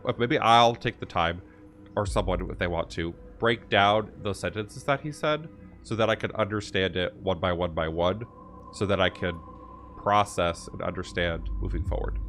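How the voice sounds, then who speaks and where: Low-pitched man rambling through game commentary. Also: moderate pace, fairly bright tone. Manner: rambling, thinking aloud, repetitive. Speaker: gaming streamer. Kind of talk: casual running commentary on a game. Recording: faint game music behind.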